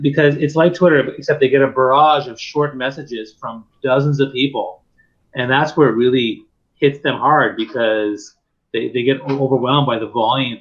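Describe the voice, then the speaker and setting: A man at an ordinary middle pitch hosts an interview. He talks thoughtfully, sets out his points in order and uses frequent fillers. His audio sounds slightly muffled and lo-fi. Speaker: interview host, keynote speaker. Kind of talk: casual interview conversation.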